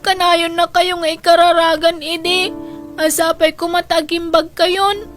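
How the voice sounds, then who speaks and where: A woman's voice: very high voice, flat delivery, unhurried, fairly bright tone, faint music behind. A woman playing a caring, protective young granddaughter in a radio drama, earnest and pleading. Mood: earnest reproach. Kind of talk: Ilocano radio drama acting.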